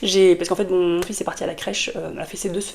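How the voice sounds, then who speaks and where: Woman, book vlogger, sore throat, head cold, chatty, rambling, lowish voice. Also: steady tone, quick pace, vocal fry, a little mellow. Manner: chatty and rambling, many fillers and restarts. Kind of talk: casual book review to camera.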